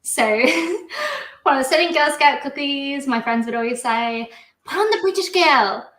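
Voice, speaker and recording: A woman's voice: high voice, sing-song, unhurried, a bit bright. Giggly Midwestern woman in an echoey room. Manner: giggly, talks through laughs. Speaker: live stream host. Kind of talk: casual chat.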